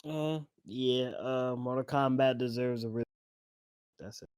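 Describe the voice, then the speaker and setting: A man's voice: medium pitch, fairly even intonation, a little slow, a little mellow, smooth, no room sound, clean studio sound. A man co-hosting a podcast, chatting casually and informally with plenty of slang. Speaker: podcast co-host. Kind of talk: casual panel chat about games and films.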